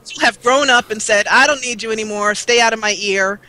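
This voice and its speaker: A woman with a bright, forward voice chats in a relaxed conversational style. She speaks as a guest who makes gaming videos, and her tone has a clear edge to it. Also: a little fast, rough recording. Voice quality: low-voiced. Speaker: guest, gaming video maker and role-player. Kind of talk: casual chat.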